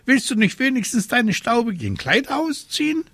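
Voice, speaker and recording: A croaky storybook raven, fussing and scolding in a high, squawked voice. He nags indignantly, with big swoops of pitch and a dry, close sound. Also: sing-song, moderate pace, a bit bright, no room sound, exaggerated voice. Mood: indignant, impatient. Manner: indignant, nagging.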